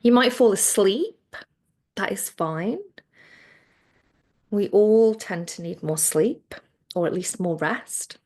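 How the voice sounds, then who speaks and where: A woman teaching guided relaxation, speaking in a soothing, gentle way with phrases that trail off softly. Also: medium pitch, takes it slowly, long pauses. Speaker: yoga nidra relaxation teacher.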